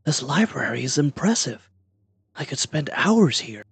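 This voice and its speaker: A man playing a curious, bookish young adventurer with an inquisitive, expressive delivery in a fantasy audio roleplay. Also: mid-range voice, unhurried, creaky, breathy edge, no room sound, exaggerated voice.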